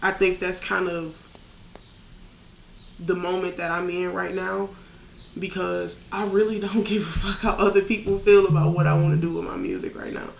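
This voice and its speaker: A man with a raspy, gravelly voice that sits fairly high for a man. He talks in a loose, candid way, as a podcast guest chatting about his craft. The sound carries an echoey room around it. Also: moderate pace, slight background noise. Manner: relaxed, candid, loose with filler words. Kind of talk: casual chat.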